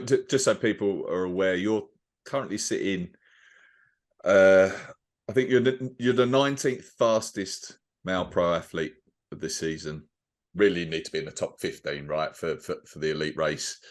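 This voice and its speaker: A man's voice: low-pitched, moderate pace. A man hosting a podcast, asking questions in a casual conversational interview style.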